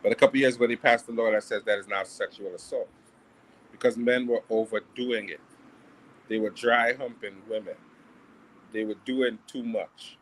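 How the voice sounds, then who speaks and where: A man's voice: lowish voice, fairly even intonation, moderate pace, a little hiss or hum, poor audio. A man with a Trinidadian accent, arguing his point insistently in a casual panel debate and repeating himself for emphasis. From Brooklyn, United States. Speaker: podcast panelist.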